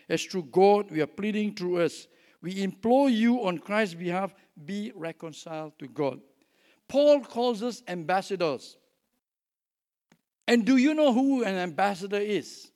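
High-pitched Singaporean male preacher. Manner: conversational, checks in with listeners using questions. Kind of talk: sermon with scripture reading and teaching.

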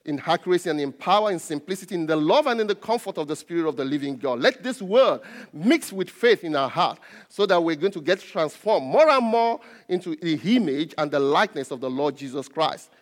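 A man with a Nigerian accent, a native Yoruba speaker, preaches a sermon as a pastor in a deliberate way, repeating key phrases. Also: fairly high pitch, lively intonation. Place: large reverberant indoor church space. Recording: reverberant hall.

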